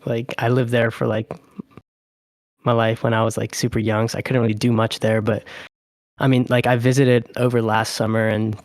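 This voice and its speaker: A man's voice: medium pitch, normal speed, dry recording, clean studio sound. A young man from Japan, a podcast guest, chatting casually and rambling with lots of fillers. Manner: relaxed, rambling, heavy on fillers. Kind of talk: casual chat.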